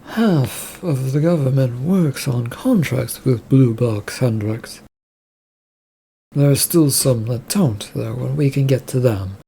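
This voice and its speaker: A man's voice: mid-range voice, slow, gravelly, warm tone, slight background noise. A man voicing a scheming, well-connected businessman in a story, wry and confident. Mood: resigned.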